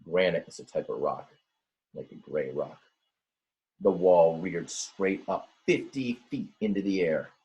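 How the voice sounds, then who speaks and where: A man reading a children's storybook aloud in a dramatic, expressive way, with simple explanatory asides for young listeners. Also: low voice, unhurried, breathy edge, dull audio. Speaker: teacher reading a picture book aloud.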